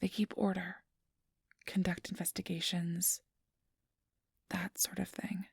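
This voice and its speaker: Woman acting as gentle female clerk, ASMR roleplay, hushed breathy whisper, lowish pitch, very dry close sound, reassuring. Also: level tone, slow, dry recording, exaggerated voice. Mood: gentle, careful. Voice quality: soft, breathy, often whispered. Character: kindly, apologetic female registration clerk for a vampire council. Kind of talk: ASMR roleplay, soft whispery speech to the listener.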